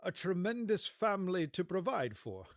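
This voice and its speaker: A man voicing a huge, jovial, generous Christmas spirit with a booming, laughing, exclamatory delivery in a storybook performance. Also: big pitch swings, moderate pace, no echo, clean studio sound. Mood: booming, jolly. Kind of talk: theatrical audiobook character acting.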